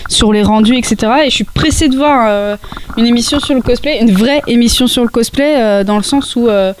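A woman's voice: on the high side, brisk, a little hiss or hum. A woman giving a casual podcast segment on cosplay, talking with many hesitations and restarts.

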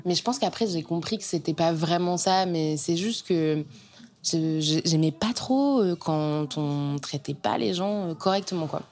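A young woman from Normandy talks in a low, chatty voice as a podcast guest. Her speech is informal and loose, with frequent fillers and restarts. She is recorded in a bar laid out like a home. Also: a little slow, darkish tone. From Normandy, France. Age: young adult. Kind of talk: casual interview talk. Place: converted house bar, in a bathtub.

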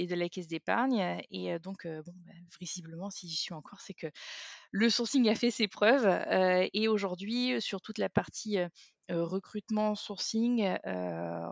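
A woman's voice: fairly low pitch, creaky, no room sound. A woman in her thirties from the south of France, chatting easily as a podcast guest, with lots of fillers and restarts. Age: 30s. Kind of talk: casual interview talk.

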